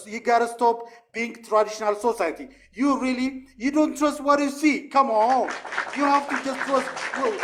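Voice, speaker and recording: A man's voice: very high-pitched, fairly bright tone. A man, a caller urging listeners in a pleading, repetitive way.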